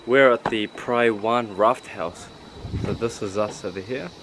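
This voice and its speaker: A man's voice: on the low side, measured pace, noisy background. A young man casually vlogging a trip, chatting playfully to the viewers while filming himself at arm's length outdoors on a lakeside raft house. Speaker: travel vlogger. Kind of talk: casual travel vlog. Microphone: handheld camera at arm's length.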